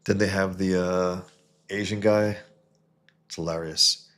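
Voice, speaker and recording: A man from New York hosting a casual livestream, reading viewer comments and answering in a halting way that restarts and repeats words. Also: deep voice, steady tone, a bit bright. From New York, USA. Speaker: livestream host and comic artist.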